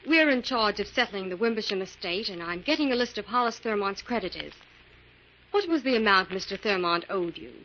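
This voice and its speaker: Radio drama girl sidekick: eager, crisp bright tone, dull hissy vintage sound. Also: mid-range voice, expressive, a little fast. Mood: brave, careful. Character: plucky, eager young female detective's assistant. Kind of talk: 1940s radio crime drama acting.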